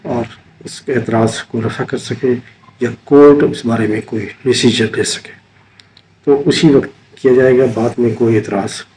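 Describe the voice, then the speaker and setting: An older Pakistani man lectures on law in a dark, mellow voice at an ordinary male pitch. He explains haltingly, dropping in English terms. There is a faint-to-clear wash of background noise behind him. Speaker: retired judge teaching law. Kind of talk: informal legal lecture. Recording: clearly noisy background ambience.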